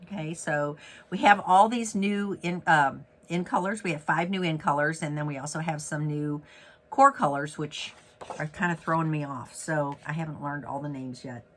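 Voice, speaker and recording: Raspy, congested man demonstrating crafts. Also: on the high side, moderate pace, a bit bright. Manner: chatty and upbeat, with many fillers and self-corrections. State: head cold, raspy and congested. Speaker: stamping demonstrator and host. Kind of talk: casual card-making tutorial.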